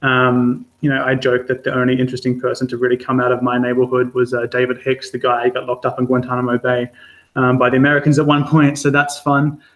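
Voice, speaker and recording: An Australian man in his thirties talks to a live-stream audience about his freelance work, going back over his words with plenty of ums. His voice sits in a middle pitch and stays level, with little rise and fall. He sounds candid and unpolished. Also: monotone, fast, fairly bright tone, poor audio. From Australia, Adelaide. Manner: hesitant, many fillers and restarts, candid. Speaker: freelance journalist giving a talk. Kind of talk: informal talk to an online audience. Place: home or studio for a live stream. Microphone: streaming setup.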